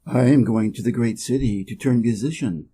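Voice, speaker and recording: A man's voice: mid-range voice, normal speed, dark tone, husky, close to an everyday voice. A man with an American accent voicing a friendly, encouraging old donkey in a storybook, hearty and courteous. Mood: friendly concern.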